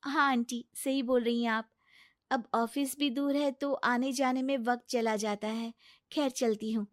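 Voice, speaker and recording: Woman doing a sweet polite young bahu, high soft voice, dry sound. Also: steady tone, measured pace, no room sound, close to an everyday voice. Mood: earnest. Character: gentle young working daughter-in-law.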